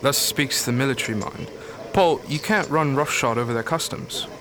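An actor playing a sardonic, reasoning scientist with a dry, didactic manner in a radio drama. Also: male voice, mid-range voice, moderate pace, crowd noise, close to an everyday voice. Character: reasoning, sardonic male scientist defending alien customs. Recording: clearly noisy recording.

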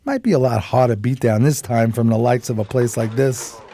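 An actor playing a streetwise, loyal homeless pal in a 1920s New York radio play, with slangy, folksy, casual speech. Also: male voice, mid-range voice, brisk, warm tone, a little hiss or hum, natural voice. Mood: wry, envious. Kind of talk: radio play acting and storytelling.

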